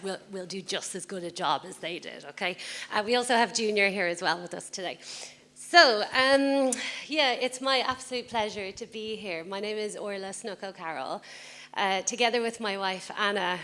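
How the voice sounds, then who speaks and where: Bright-voiced woman moderating a stage panel, chatty and informal. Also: mid-range voice. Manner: chatty, with frequent fillers and light laughter. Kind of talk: casual live panel talk. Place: stage at a community event.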